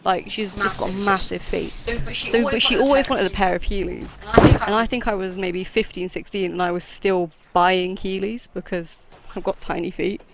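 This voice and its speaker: A woman streaming a game and chatting casually as host, laughing often and poking fun at herself. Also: medium pitch. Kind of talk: casual chat while gaming.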